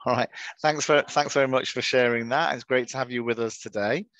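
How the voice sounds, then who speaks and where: A man's voice: medium pitch, steady tone, a little fast, a bit bright, no echo. A man hosting an online teachers' webinar in a friendly, chatty way, often restarting his sentences, heard over a video call. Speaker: webinar moderator.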